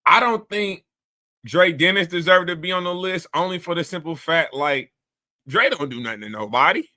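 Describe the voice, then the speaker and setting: A man's voice: a little high, normal speed, sharp tone. A man hosting a casual commentary show, talking bluntly and animatedly in a rambling way. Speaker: host.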